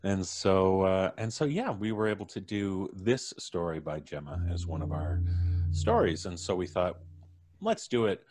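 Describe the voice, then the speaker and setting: A man's voice: low voice, very animated, moderate pace, a little mellow, a little hiss or hum. A middle-aged man, jovially hosting a live podcast show and chatting between introductions. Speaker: podcast host. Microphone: video call from home.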